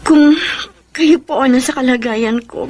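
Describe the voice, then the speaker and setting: High-voiced, pleading young Filipino woman. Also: a little slow, warm tone. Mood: distressed. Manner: emotional, pleading. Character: torn, principled young woman in love, pleading. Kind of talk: Filipino radio drama acting.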